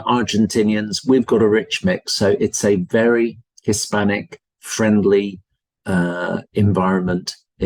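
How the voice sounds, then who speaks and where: Low-voiced British man presenting. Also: a little mellow, no room sound. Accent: British English. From United Kingdom. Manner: polite, enthusiastic promotional tone. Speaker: university representative. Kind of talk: webinar presentation. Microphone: video call.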